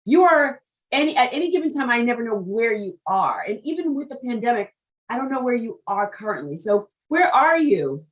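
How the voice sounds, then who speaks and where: A woman hosting an interview, chatty and animated, often repeating words as she jumps in. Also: a little high, moderate pace, distant mic, rough recording. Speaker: interview host. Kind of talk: casual conversational interview.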